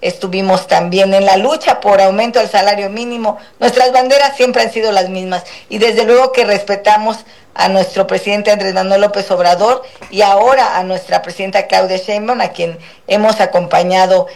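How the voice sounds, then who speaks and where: A woman speaks as a politician in a live radio interview. She picks her words with care and often stalls on "eh" before going on. Her voice sits at a middling pitch. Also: steady tone, darkish tone. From Guerrero coast, Mexico. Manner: measured, with many hesitant "eh" fillers. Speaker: politician guest.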